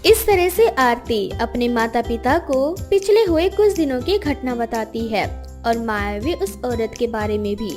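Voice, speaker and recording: Female storybook narrator, very high voice, scripted children's tale delivery over soft music. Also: lively intonation, measured pace. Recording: music behind the voice.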